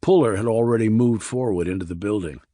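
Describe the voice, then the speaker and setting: Low-voiced man narrating a thriller. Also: fast talker, dark tone, no echo, studio quality. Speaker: audiobook narrator. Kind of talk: scripted crime thriller narration.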